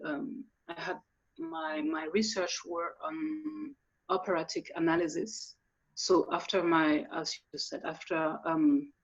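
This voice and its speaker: A woman's voice: fairly low pitch, creaky. Woman on a video call, lots of ums and restarts, kinda muffled lo-fi sound. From France. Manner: hesitant, many fillers and restarts. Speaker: guest musician. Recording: dull lo-fi audio.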